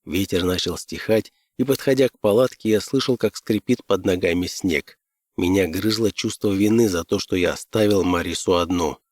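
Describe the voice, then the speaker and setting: A man's voice: sits low, moderate pace, a little mellow, no room sound. A man reading scripted first-person fiction as an audiobook narrator.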